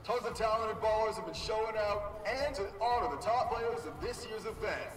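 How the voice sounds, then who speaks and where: A man's voice: high voice, moderate pace, natural voice. A man playing a hyped-up streetball tournament announcer in a video game, rousing and slangy as he projects to a crowd. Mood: hyped, formal. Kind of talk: video game cutscene acting, event emceeing. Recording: echoey, distant, over music and crowd.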